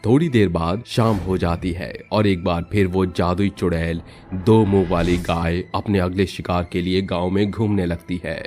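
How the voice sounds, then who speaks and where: A man narrating a scripted horror story for a cartoon storybook channel, steady and dramatic. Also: deep voice, normal speed, soft background music.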